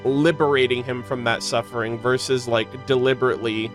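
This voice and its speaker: A man giving casual, expressive live reactions as he streams a game, with slangy phrasing. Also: mid-range voice, a little fast. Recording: game music behind.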